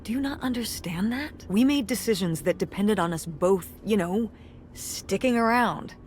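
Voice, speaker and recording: A woman's voice: medium pitch, normal speed, darkish tone, natural voice. Woman acting as a weary, defensive mother, background noise. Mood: bitter, weary. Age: middle-aged. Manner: exasperated, hesitant, sighing. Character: weary, defensive middle-aged mother running a struggling theater. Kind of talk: naturalistic video game voice acting. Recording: clear background ambience noise.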